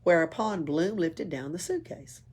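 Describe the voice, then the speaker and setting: A woman's voice: fairly low pitch, expressive, unhurried, darkish tone, husky. A woman reading a children's story aloud as a library storyteller. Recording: faint background noise, room ambience.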